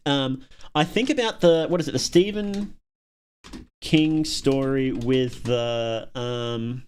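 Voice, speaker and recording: A man's voice: medium pitch, takes it slowly, a little mellow, clean tone. A man hosting a casual livestream, chatting to viewers and thinking aloud in a rambling, opinionated way. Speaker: livestream host.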